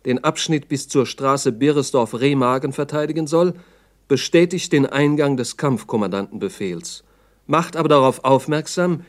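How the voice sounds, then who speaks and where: A male narrator in a 1960s radio play, with a mid-range voice. He reads scripted documentary-style narration in a sober, formal manner, as if delivering an official report. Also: mid-range voice.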